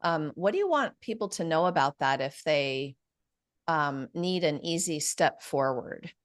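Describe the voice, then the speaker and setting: Woman, host, low voice, upbeat, grateful, chatty interview style. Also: animated, unhurried. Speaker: interview host, publishing CEO. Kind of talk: enthusiastic interview hosting.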